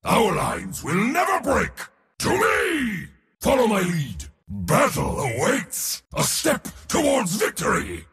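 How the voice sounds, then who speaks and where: An actor voicing a proud, pompous god of war for a video game, with a theatrical, commanding military delivery. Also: male voice, high voice, huge pitch swings, slow, vocal fry, fairly bright tone, in a large hall, full character voice. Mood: boastful. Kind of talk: video game hero voice lines.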